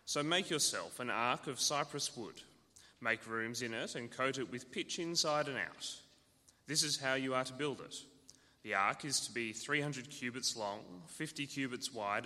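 Guy reading the bible out in church, steady, bright tone, long echo. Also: medium pitch. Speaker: scripture reader. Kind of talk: reading scripture aloud. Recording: church hall reverb.

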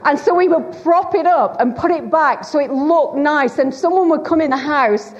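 A woman's voice: high voice, normal speed, slight background noise. A middle-aged woman with a Lancashire accent, preaching a chatty, teasing sermon to a church congregation. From Bolton, Greater Manchester, England. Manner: chatty, teasing, self-deprecating. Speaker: guest preacher. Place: church hall. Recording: dull, long hall reverb.

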